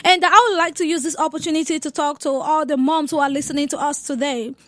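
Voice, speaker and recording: A woman's voice: high-pitched, steady tone, quick pace, fairly bright tone, dry recording. A woman hosting a casual radio interview. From Africa. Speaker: radio show host.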